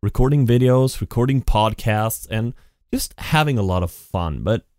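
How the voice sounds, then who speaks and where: A man's voice: lowish voice, lively intonation, normal speed, darkish tone, no room sound, clean studio sound. A man hosting his own podcast, talking off the cuff in a rambling way with lots of restarts, into a decent mic at his computer. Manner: rambling, many restarts and repeated "and". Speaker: podcast host. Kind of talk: unscripted solo podcast monologue. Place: home setup at a computer. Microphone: studio podcast mic with effects.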